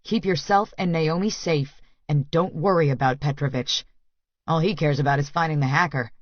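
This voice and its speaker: A woman's voice: sits low, moderate pace, no room sound, lo-fi sound, pitched below the performer's own voice. A woman voicing a confident, blunt, protective young man in an audiobook. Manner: blunt, commanding, swearing.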